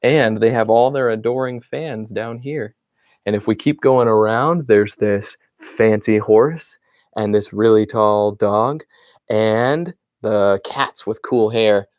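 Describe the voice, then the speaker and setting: A man's voice: on the low side, slow, darkish tone, dry recording. A male teacher reading a children's picture book aloud and pointing out details in the illustrations for young listeners.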